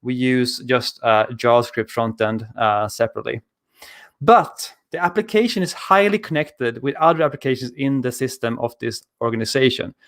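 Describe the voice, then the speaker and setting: A man, presenting a conference talk over a video call in a relaxed, conversational way with many filler sounds. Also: mid-range voice, moderate pace. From Sweden. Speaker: conference speaker. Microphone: studio mic on arm, video call.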